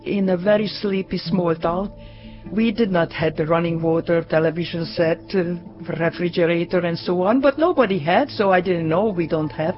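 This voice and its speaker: An older woman with a Hungarian accent recalls her life in a personal, reminiscing way. The recording is slightly dull, and music plays clearly behind her. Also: on the low side, moderate pace. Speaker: scientist recalling early life. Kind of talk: personal recollection.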